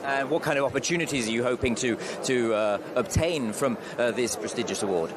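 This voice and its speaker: A man's voice: mid-range voice, a little fast. A man interviewing a guest at an expo stand, hesitant and full of "uh" fillers. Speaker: event interviewer. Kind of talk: on-site interview. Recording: clear street noise behind.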